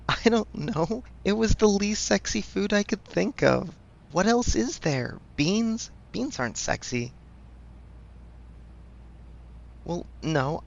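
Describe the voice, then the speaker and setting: A man's voice: fairly high pitch, vocal fry, muffled recording, full character voice. A man playing a supportive best friend in a casual audio roleplay, speaking frankly with affectionate teasing. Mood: playful, teasing. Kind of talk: ASMR audio roleplay, conversational.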